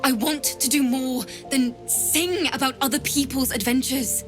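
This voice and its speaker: Young female bard for a fantasy game: lightly high voice, unhurried, hesitant, earnest and pleading, over music. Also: steady tone. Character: earnest, timid young female bard, eager to prove herself. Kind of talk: fantasy video game character acting. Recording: music behind the voice.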